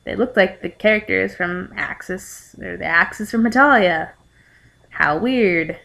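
A young adult woman reading aloud and commenting casually, in a halting, rambling way with exasperated asides. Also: mid-range voice, gravelly, fairly bright tone. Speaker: amateur vlogger reading fan fiction aloud. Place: living room at home.

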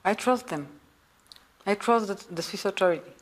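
A woman's voice: medium pitch, measured pace, slightly rough. A woman answering documentary interview questions hesitantly, searching for words.

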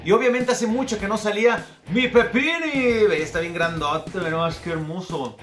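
A man's voice: high-pitched, moderate pace, fairly bright tone, soft background music. A young man, talking casually as a YouTube creator signing off. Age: young adult. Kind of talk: casual channel outro. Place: small home studio room.

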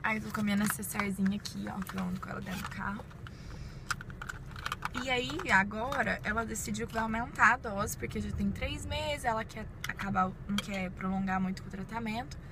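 A woman's voice: medium pitch, brisk. A Brazilian woman in her twenties chatting informally to viewers inside a parked car, busy with her makeup as she talks. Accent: Brazilian Portuguese. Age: 20s. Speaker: beauty vlogger. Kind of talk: casual chat to viewers while doing makeup. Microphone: phone at arm's length. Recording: clear vehicle and ambient noise.

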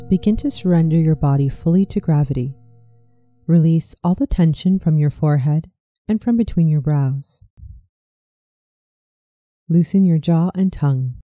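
Very mellow, soft-toned meditation narrator. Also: mid-range voice, dry recording, clean studio sound. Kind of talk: scripted guided visualization storytelling.

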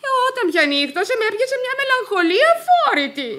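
A flighty young wife in a comic radio play. Her high voice swoops about theatrically as she chatters on, coquettish and gushing. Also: very animated, fairly bright tone, slight background noise, full character voice. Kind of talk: old Greek radio theatre comedy acting.

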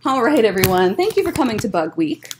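A woman in her thirties reading a picture book aloud at a children's story time, expressive and deliberate, with explanatory asides. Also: on the high side, slight background noise. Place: indoors, library room with bookshelves.

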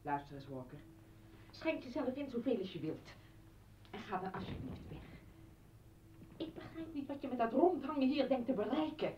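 An actress playing an exasperated, accusing mother confronting her ex-husband in a radio play, with a husky voice. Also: a little high, lively intonation, normal speed, long pauses, a little hiss or hum, close to an everyday voice. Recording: dull old radio recording.

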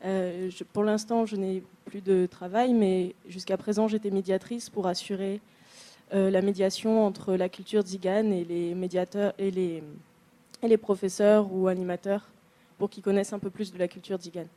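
A woman works as an interpreter, rendering each passage after the speaker in a plain, steady way. Her voice is mellow and dark, with a middle pitch. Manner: plain, brief pauses while rendering. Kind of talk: consecutive interpretation.

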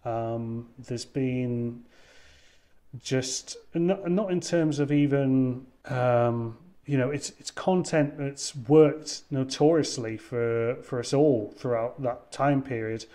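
Young guy on a headset call, warm mellow voice, lots of ums, thinks as he talks. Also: mid-range voice, slow. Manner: hesitant, many ums and restarts. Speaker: wine content creator guest. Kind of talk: casual interview over video call. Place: indoors, room with wine shelves. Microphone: over-ear headset on video call.

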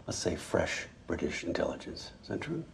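An actor playing a measured, businesslike male official who speaks formally in a scripted screen satire. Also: low-pitched, very animated, heavily acted. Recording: clearly noisy location sound.

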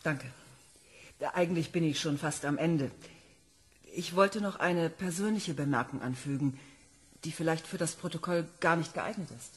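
A woman's voice: low voice, normal speed, breathy edge. An actress playing an enthusiastic woman planetology professor reporting to a commission. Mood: earnest. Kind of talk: radio play acting.

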